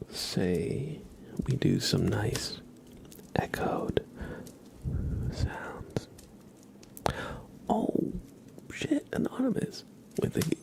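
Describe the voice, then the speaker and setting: A man hosting an ASMR livestream, chatting softly to viewers in an unhurried, soothing way with halting phrasing. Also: fairly high pitch, gravelly. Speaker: livestream host.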